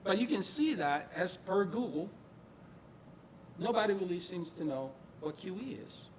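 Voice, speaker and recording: A man's voice: high voice, sing-song, normal speed, slight background noise, rough recording. A middle-aged man from Brazil and New Jersey gives an informal tech talk in a conversational, emphatic way, repeating phrases for stress. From born in Brazil, grew up in northern New Jersey, US. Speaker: presenter, quality engineering manager. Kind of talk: informal tech meetup presentation. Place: meeting room presentation before an audience.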